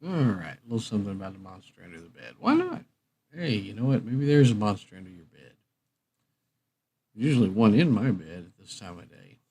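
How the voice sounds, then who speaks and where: Male livestream host, lowish voice, loose and chatty, hesitantly thinking out loud. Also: very animated, a little fast, breathy edge. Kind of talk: casual rambling livestream chat.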